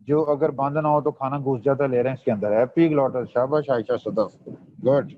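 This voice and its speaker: A man's voice: mid-range voice, fairly even intonation, normal speed, no room sound. A man gives an online medical revision lecture, quizzing students and mixing Hindi with English terms. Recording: dull dry lo-fi audio.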